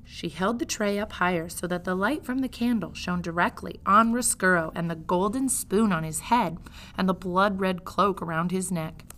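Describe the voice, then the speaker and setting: A woman teacher reading a children's book aloud in an expressive storytelling style. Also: on the low side, normal speed.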